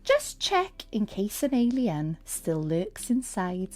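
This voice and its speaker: A woman reading a picture book aloud to young children, expressive and speaking straight to her listeners. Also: mid-range voice, slow. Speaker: storyteller and teacher reading to children. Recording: music under the voice. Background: music and sound effects.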